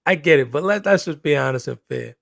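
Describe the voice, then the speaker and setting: A man's voice: fairly high pitch, expressive, normal speed, creaky, fairly bright tone, no echo, clean studio sound. A man co-hosting a live-streamed chat, laid-back and affirming as he greets viewers.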